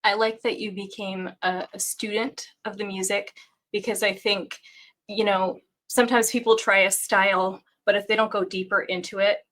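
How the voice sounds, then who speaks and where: Female interviewer, sharp bright voice, halting questions. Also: mid-range voice, flat delivery. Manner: hesitant, with fillers.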